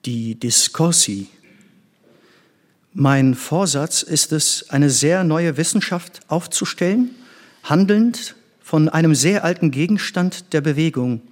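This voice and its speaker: An actor playing an earnest middle-aged scientist and former pupil in a stage drama, intense and theatrical. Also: male voice, fairly high pitch, animated, slow. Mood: fervent, admiring.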